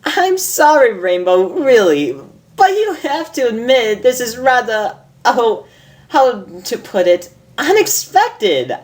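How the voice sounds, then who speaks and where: A woman voicing an elegant young female unicorn dressmaker in a storybook reading, prim and theatrical with affected, drawn-out exclamations. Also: a little high, big pitch swings, pitched above the performer's own voice. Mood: amused, apologetic.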